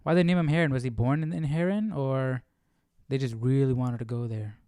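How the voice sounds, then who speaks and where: A man's voice: medium pitch, brisk, warm tone, clean tone, no echo, clean studio sound. A man hosting a live Bible study, casually reading aloud and questioning what he reads as he thinks it through. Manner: questioning, musing to himself.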